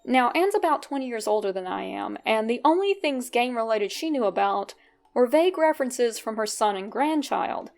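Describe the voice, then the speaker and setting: A woman with a fairly high voice narrates a personal story from a script. She keeps it conversational, dropping in wry little asides as she goes. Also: lively intonation, normal speed, darkish tone, faint music behind.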